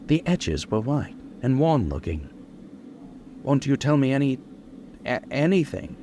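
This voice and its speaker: A man's voice: medium pitch, very animated, a little slow, warm tone, a little hiss or hum. A man playing an earnest young doctor, narrating his journal in a formal, dramatic old-time radio style. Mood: anxious, tender. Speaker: narrator and character. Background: prominent music.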